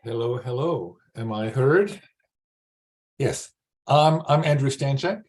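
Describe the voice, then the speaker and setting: A man in his sixties from Slovakia, a writer speaking at an online literary reading, with an earnest, halting delivery. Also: mid-range voice, expressive, a little mellow. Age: 60s. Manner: halting, repeats words, earnest. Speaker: writer reading own short fiction. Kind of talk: informal spoken introduction and author's reading at an online literary event. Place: remote video call.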